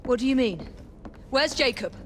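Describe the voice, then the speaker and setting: An actress playing a determined female assassin, who speaks briskly and questions people directly. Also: high-pitched, animated, fairly bright tone, slight background noise. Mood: urgent.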